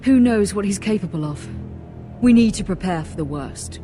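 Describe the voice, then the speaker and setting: A woman's voice: mid-range voice, animated, normal speed, dark tone, soft background music, lightly acted. A woman playing a poised, worried noblewoman who keeps the peace in a video game drama. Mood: concerned, firm.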